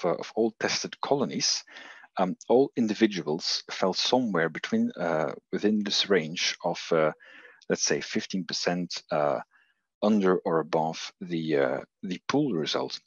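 A man with a Belgian accent gives a research talk over a video call. His voice is very low, and he pauses often with fillers and restarts. The sound is muffled and dry. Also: slightly rough, no room sound. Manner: hesitant, many fillers and restarts. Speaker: researcher presenting.

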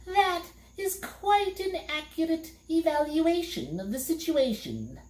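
A woman voicing a small furry male demon who boasts gleefully and gives bad advice in a squeaky, put-on voice. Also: very animated, slow, a little mellow, roomy sound, full character voice. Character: small furry male demon, sly fibber then sobbing.

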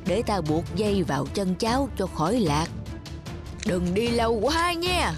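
A woman's voice: medium pitch, sing-song, measured pace. A woman voicing an old demon woman who wheedles like a frail granny and threatens, in a cartoon fairy tale. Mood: coaxing. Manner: coaxing, scheming. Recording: music behind the voice.

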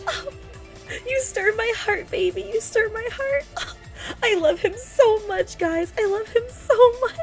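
Gushing woman with an extremely high voice. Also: measured pace, soft background music. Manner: gushing, squealing, repeats phrases excitedly. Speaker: reaction video host. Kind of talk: excited live reaction commentary. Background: game trailer soundtrack.

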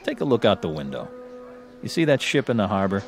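A man's voice: fairly low pitch, big pitch swings, fairly quick, soft background music. An actor playing a mild, hesitant man, talking in a casual, tentative way. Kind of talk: dramatic screen acting.

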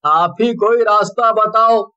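A young village man from a horror cartoon, played by a man. He is emphatic and ready to complain, with a strikingly high, crisp, bright voice. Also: slow, muffled recording, heavily acted. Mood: earnest. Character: earnest, grumbling young male villager. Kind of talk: Hindi horror cartoon acting.

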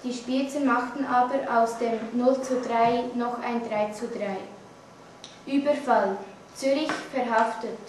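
Young female student newsreader, Swiss German accent, slow halting delivery, very reverberant classroom. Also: high-pitched. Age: teenager or young adult. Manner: halting, stumbling reading. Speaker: student news reader. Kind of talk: scripted news reading. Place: classroom, indoors. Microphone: camera mic at a distance. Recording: echoey room, distant mic, faint noise.

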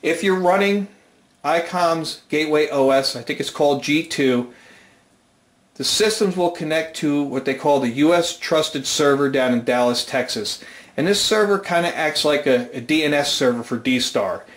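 A man's voice: a little high, moderate pace. Man explaining radio gear in echoey room. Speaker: amateur radio demonstrator and presenter. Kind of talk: explanatory hobby tutorial. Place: indoors at home, roomy. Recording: roomy, echoing home room.

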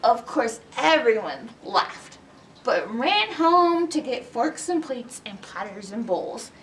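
A middle-aged woman reads a children's storybook with a very lively, swooping delivery. She takes her time and performs the story dramatically. There is faint noise in the recording. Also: a little high, slow, far from the mic. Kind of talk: animated storybook read-aloud. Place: indoors in a library by bookshelves.

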